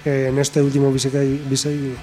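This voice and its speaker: A man's voice: mid-range voice, monotone, brisk, warm tone, soft background music. Hesitant male interviewer. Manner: hesitant, with many fillers and acknowledgments. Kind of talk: radio interview.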